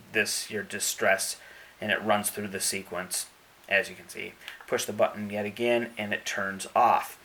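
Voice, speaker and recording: A man's voice: mid-range voice, fairly bright tone. A man giving a casual hands-on product review, explaining each step methodically and checking in with the listener as he goes.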